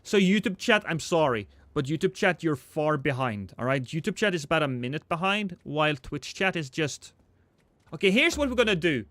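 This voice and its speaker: A man's voice: a little high, sing-song, normal speed, fairly bright tone, dry recording. A man, chatting casually and joking with his chat while streaming a game. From Norway.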